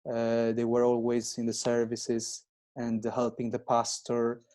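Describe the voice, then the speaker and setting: A man in his twenties who grew up in Italy, speaking slow, halting non-native English as a guest with many pauses and restarts. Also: mid-range voice, completely flat delivery, warm tone. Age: 20s. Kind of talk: casual interview chat.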